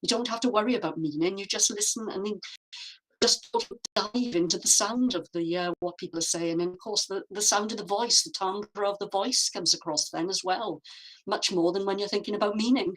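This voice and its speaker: A woman from Wales, a guest artist, answering interview questions in a reflective, measured way with frequent fillers, over a video call. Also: medium pitch, poor audio.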